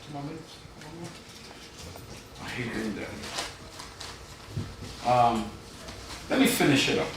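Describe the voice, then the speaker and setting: A middle-aged man reading his poetry live, with informal asides and a wry, deadpan storytelling manner. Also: mid-range voice, unhurried, long pauses. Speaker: poet. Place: live event in a reverberant room, audience present. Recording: very echoey hall, distant, noisy.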